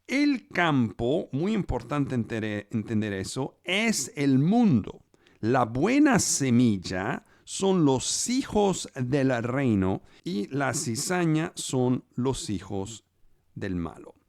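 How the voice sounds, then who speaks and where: A man teaching a live online Bible study in Spanish, which is not his first language, explaining scripture step by step and pausing on fillers. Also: mid-range voice, sing-song, darkish tone, dry recording, clean studio sound. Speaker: preacher.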